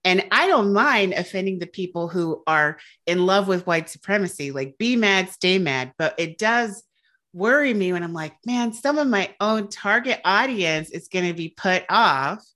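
A woman's voice: medium pitch, expressive, moderate pace, sharp tone. A woman in her thirties from the Southern United States, a guest author speaking earnestly and reflectively in a casual interview. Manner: reflective, earnest, laughs lightly.

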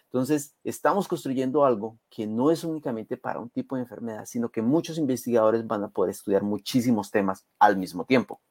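A man's voice: mid-range voice, a little fast, hardly any pauses, darkish tone, dry recording. Emphatic man explaining, dry close sound. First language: Spanish. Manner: explanatory, emphatic, rhetorical check questions. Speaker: interview guest, community health program advocate. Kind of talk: conversational explanation.

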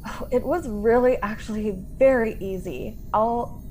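A woman's voice: fairly high pitch, measured pace, mellow, slight background noise, lightly acted, rough recording. A woman acting in a radio play as a naive, trusting young stowaway, earnest and impulsive, with an innocent delivery. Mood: earnest, curious.

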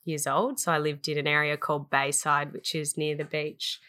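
Aussie woman chatting on her podcast, low pitched and bright, rambles a lot. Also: level tone, normal speed. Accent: Australian. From Australia. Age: 20s. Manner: chatty, rambling, laughs easily. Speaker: podcast co-host. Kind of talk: casual chat.

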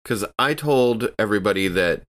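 A man in casual tabletop role-play as a player, speaking in a halting way. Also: fairly low pitch, lively intonation, a bit bright. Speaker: player on a tabletop game show.

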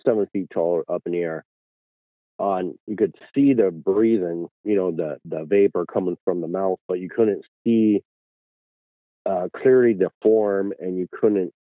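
A man with an Irish accent, a guest telling his story slowly with many fillers and restarts. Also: deep voice, dry recording, phone-quality sound. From Ireland.

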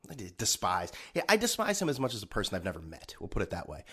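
A low-voiced man with a lively, swinging delivery and a slightly stuffed-up nose. He hosts a solo podcast and rants in a sarcastic, rambling way. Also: quick pace, husky. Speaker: podcast host.

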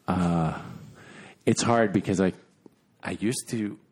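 A man's voice: sits low, slow pace, darkish tone, slightly rough. A man and former actor chatting casually, rambling and restarting his sentences often. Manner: rambling, self-interrupting, frequent restarts. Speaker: podcast guest and former actor. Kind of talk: casual chat.

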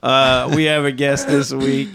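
A relaxed man hosting a casual podcast, his voice sitting at a middling pitch. He rambles, restarts his sentences and chuckles easily. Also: measured pace, vocal fry. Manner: laid-back, laughs often, rambling with restarts. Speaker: podcast host.

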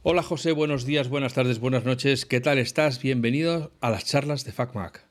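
A man's voice: mid-range voice, moderate pace. Man, middle-aged, podcast host, chatty, jokey, encouraging. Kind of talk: podcast interview.

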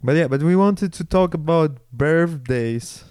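A man's voice: fairly high pitch, measured pace, a little mellow, clear, resonant tone, dry recording. A young man with a Polish accent, co-hosting a casual comedy podcast with dry, self-deprecating, understated humor. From Poland. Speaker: podcast co-host.

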